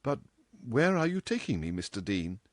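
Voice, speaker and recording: A man's voice: mid-range voice, very animated, no room sound, studio quality, natural voice. A man voicing a polite young woman secretary, hesitant and easily flustered. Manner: hesitant, halting, stammering politeness.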